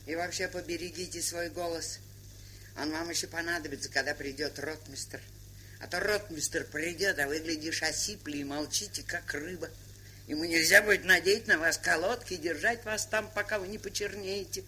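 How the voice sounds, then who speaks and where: Sharp, bright-voiced shrewd older woman trader. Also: on the low side, normal speed. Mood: dry, mocking. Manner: worldly-wise, ironic, lecturing. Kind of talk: theatrical stage drama acting. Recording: hissy 1970s studio drama recording.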